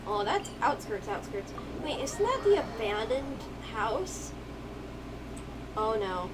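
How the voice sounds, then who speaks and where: Female streamer, casual playthrough commentary, slightly high pitch, unhurried, loud game noise. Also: big pitch swings.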